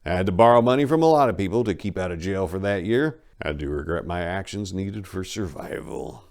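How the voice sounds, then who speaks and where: Low-voiced man, wry dramatic reader. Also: lively intonation, a little fast, creaky, darkish tone. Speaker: host reading an autobiography aloud. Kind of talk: dramatic first-person audiobook reading with casual asides.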